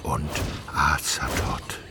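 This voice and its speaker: A man's voice: very slow, noisy background. A man reading scripted literary narration as the narrator of a radio drama.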